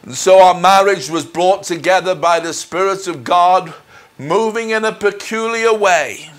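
A middle-aged man preaches his personal testimony in a fairly high voice for a man. He speaks very slowly and deliberately, with earnest, measured phrasing. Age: middle-aged or older. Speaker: minister. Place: indoors in front of a dark curtain. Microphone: lapel mic.

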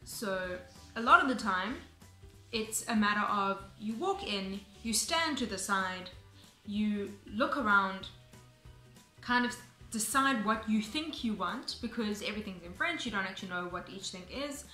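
Casual South African woman in her twenties, crisp bright voice, talking to camera in an echoey room. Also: medium pitch, lively intonation, unhurried, faint music behind. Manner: chatty, explanatory, frequent ums and restarts. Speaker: vlogger. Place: bright room indoors, seated on sofa.

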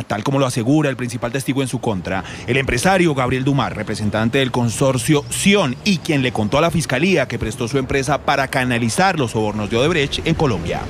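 A man's voice: medium pitch, a little hiss or hum. A man narrating a news report as a reporter's voice-over.

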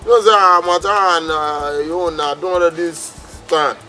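Male actor as lovesick naive Nigerian man, high voice, plaintive, hesitant, self-pitying drama delivery, faint music. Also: fairly even intonation, normal speed, pushed and bright. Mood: hesitant, defensive. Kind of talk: comedy drama acting in Nigerian Pidgin.

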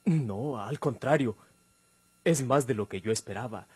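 Timid young Mexican man, husky and dry. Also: medium pitch, a little slow, mellow, dry recording. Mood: meek, nervous. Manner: hesitant, apologetic, meek. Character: timid, clumsy, self-doubting young man hiding his origins. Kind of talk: 1950s-style Mexican radio drama acting.

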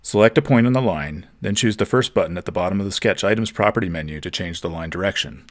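A man giving a steady, methodical, scripted narration for a software tutorial. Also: low voice, quick pace.

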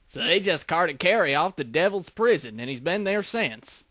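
A man voices a chatty Southern US bartender in a cartoon parody. He is folksy and earnest, with a sharp, forward tone that rises and falls freely. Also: on the high side, lively intonation, normal speed, dry recording, studio quality. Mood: earnest gossip. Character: chatty small-town Southern male bartender. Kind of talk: parody cartoon dub acting.

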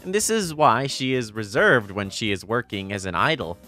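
A man's voice: lowish voice, lively intonation, normal speed, pushed and bright, soft background music. A man playing an easygoing teenage boy narrating a romantic comedy manga story, explanatory and fondly exasperated. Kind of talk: scripted manga narration and dialogue.

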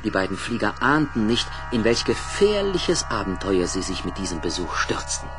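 Lively male storyteller with expressive intonation. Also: fairly low pitch, moderate pace, music in the background. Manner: storytelling, clearly phrased. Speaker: narrator. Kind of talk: scripted children's story narration.

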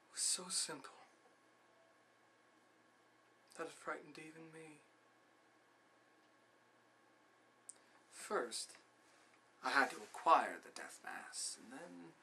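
A man's voice: fairly high pitch, sharp tone, slight background noise, pitched above the performer's own voice, exaggerated voice. A man performing a film monologue as a bitter, envious composer, scheming and obsessed, with theatrical, grandiose delivery. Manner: theatrical, gloating, drawn-out.

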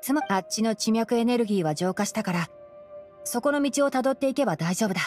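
A woman playing a composed, knowledgeable young fox-eared male forest ranger in a Japanese game, explaining things in a measured way. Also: fairly high pitch, a little mellow, natural voice. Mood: calm, kindly. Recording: game music behind the voice. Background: background game music.

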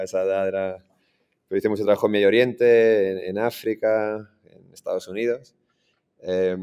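A man in his forties with a Madrid Spanish accent, telling his story as an interview guest on a video call, relaxed and full of restarts. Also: deep voice, clean tone. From Iran, raised in Madrid. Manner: relaxed, many restarts and fillers. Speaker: startup founder guest. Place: in front of a green screen, indoors.

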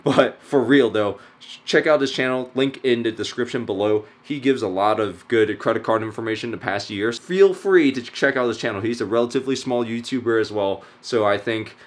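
A young man in his twenties, for whom English is not his first language, talking casually to camera in a vlog, excitable and slangy, often saying "dude" and "freaking". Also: medium pitch, fairly quick. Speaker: vlogger. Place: car, then kitchen, then living room, indoors.

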